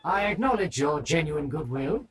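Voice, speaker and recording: A man's voice: a little high, very animated, moderate pace, crowd noise. A man voicing a pompous, haughty aristocrat with formal, archaic speech in a video game. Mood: confiding. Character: pompous, haughty aristocratic nobleman, easily flattered. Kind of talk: scripted video game character acting.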